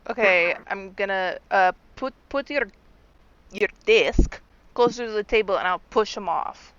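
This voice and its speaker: A woman playing a tabletop game, talking excitedly and swearing casually. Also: medium pitch, measured pace, smooth, no room sound. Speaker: player. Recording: dull lo-fi sound.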